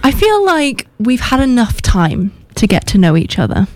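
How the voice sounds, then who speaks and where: A fairly high-voiced woman hosts a radio show, teasing her guests and giggling often. Her voice is close and dry, with no room sound. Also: big pitch swings, normal speed, no room sound. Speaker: radio host. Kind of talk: casual chat.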